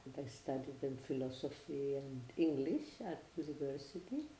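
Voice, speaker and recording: An older speaker with a Chilean Spanish accent speaks in a measured, reflective way, often pausing and restarting phrases. The tone is mellow and mid-pitched. The recording sounds roomy, with a faint hiss underneath. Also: expressive. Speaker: interviewee, former academic and researcher. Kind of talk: personal testimony.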